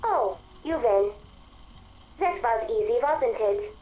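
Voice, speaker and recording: An actress voicing a calm, weary, coolly defiant female artificial intelligence in a video game audio log, with a measured, detached delivery. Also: fairly high pitch. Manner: deliberate, detached. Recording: dull lo-fi audio log, faint noise.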